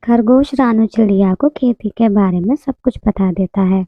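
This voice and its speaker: A woman's voice: mid-range voice, moderate pace, dry recording, phone-quality sound. A woman narrating a children's moral story in a scripted storytelling style.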